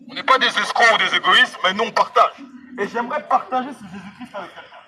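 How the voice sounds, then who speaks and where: A man's voice: high voice, fast, a crowd in the background. A man preaching fervently through a megaphone, urging passers-by directly with emphatic, repeated phrases. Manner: exhortatory, emphatic, repetitive, addressing passers-by directly. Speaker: street evangelist. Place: outdoors on a street. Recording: noisy outdoor street recording with vehicles.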